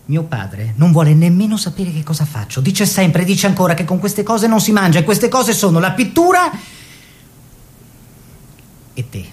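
Artist and mentor-lover: earnest, declamatory, measured, wide swoops from low to high, theatrical radio drama. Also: male voice, big pitch swings. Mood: anxious. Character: earnest male painter, lover and teacher of his model.

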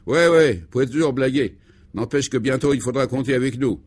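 A man's voice: medium pitch, a little fast, darkish tone, slightly rough, natural voice. A man voicing a breezy, boastful male friend with a hearty, slangy, eager way of talking, in a comic radio serial. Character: breezy, boastful, enthusiastic male pal on the telephone.

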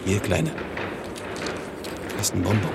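An actor in a German radio drama playing a domineering Nazi sergeant who bullies with mock-friendly, needling questions. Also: male voice, sits low, big pitch swings, slow pace, creaky, heavy background noise, exaggerated voice. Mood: mocking, condescending. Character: menacing Nazi sergeant bullying a child on a train.

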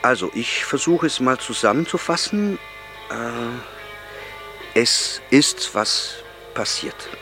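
An actor playing an earnest, solemn young man speaking deliberately in an audio drama. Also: mid-range voice, a bit bright.

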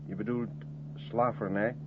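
Through a narrow, clearly hissy old radio recording comes an actor as a sceptical man with a mid-pitched voice. He questions in a measured, dryly ironic way, probing as radio drama dialogue does. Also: steady tone, lightly acted. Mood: sceptical, pointed. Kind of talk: Dutch 1970s radio drama acting. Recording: muffled, noisy 1970s radio recording.

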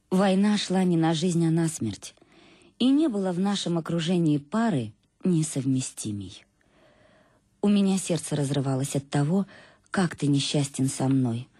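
An actress playing a reflective, embittered middle-aged wife in a radio play, confessing with irony to her absent husband in a husky voice. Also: low voice, warm tone, no room sound, studio quality, heavily acted. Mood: bitter, aching. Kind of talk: dramatic first-person monologue in a radio play. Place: dry studio.

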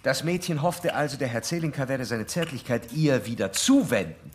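An actor playing a formal, self-assured psychoanalyst in a radio drama, pressing a patient with analytical insistence. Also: male voice, mid-range voice, lively intonation, normal speed, a little hiss or hum, close to an everyday voice. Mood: insistent, analytical. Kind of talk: German radio drama acting.

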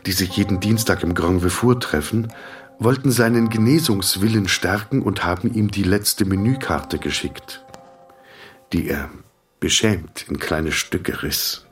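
A man narrating a radio drama in a sober, measured, detached manner, in short sentences. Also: low-pitched, far from the mic, soft background music. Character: sober, detached male storyteller of a hospital tale.

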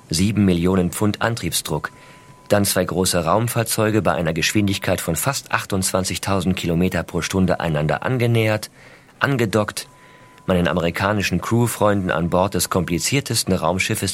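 An actor playing an American astronaut father who reads out his affectionate letters to his small son in an intimate storytelling way. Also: sits low. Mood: proud, earnest. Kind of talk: dramatized reading of letters.

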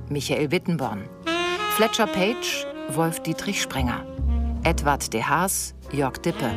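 A woman announcer formally reading the credits of a radio drama in a scripted style. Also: sits low.

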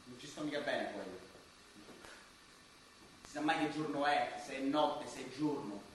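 A man's voice: medium pitch, unhurried, a little hiss or hum, natural voice. Distant, very reverberant actor as a restless dead man, insistent, colloquial, theatrical radio drama. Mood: restless, complaining. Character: restless, cranky dead man stuck in limbo. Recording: very echoey, distant, music underneath.

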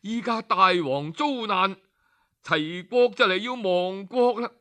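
A man's voice: sits high, slow pace, a bit bright. A male storyteller voicing a stern mother who scolds her son indignantly in a folk tale. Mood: reproachful. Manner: reproachful, indignant.

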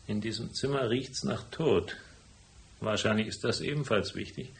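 An actor playing a shrewd, unflappable private detective in a radio crime drama, speaking in a dry, deliberate way. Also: male voice, lowish voice, vocal fry. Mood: dry, knowing. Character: cool, laconic hardboiled male private detective, shrewd and unflappable. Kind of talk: German radio crime drama acting with first-person narration.

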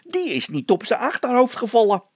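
A man's voice: extremely high pitch, very animated, fairly quick, husky, dry recording, pitched above the performer's own voice, exaggerated voice. A man voicing a dim, stubborn workman who whines and stammers evasively in a storybook. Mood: accusing.

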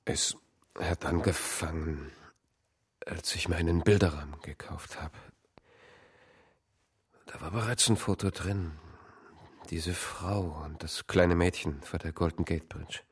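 Husky, broken, lonely man. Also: low-pitched, fairly even intonation, heavily acted. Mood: ashamed, confessing. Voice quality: very breathy, husky, creaky. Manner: halting, confessional. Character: Thomas, lonely, broken man confessing an invented family. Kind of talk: naturalistic German radio drama acting.

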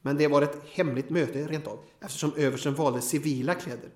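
A male actor as a dutiful underling in an audio drama, heard in a reverberant room. The voice is slightly high and reports with dry wit. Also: darkish tone, lightly acted. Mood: wry, reporting. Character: dry, dutiful male subordinate briefing on a killing. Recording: echoing room.

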